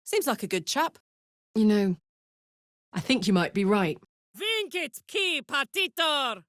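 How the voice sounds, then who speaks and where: A woman playing a brash, warm-hearted tough warrior in a video game, blunt and sweary with a casual swagger and earnest feeling. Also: high voice, sing-song, measured pace, no echo, studio quality, exaggerated voice. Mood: frank, warm, then shouting, fierce. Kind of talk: video game character voice lines.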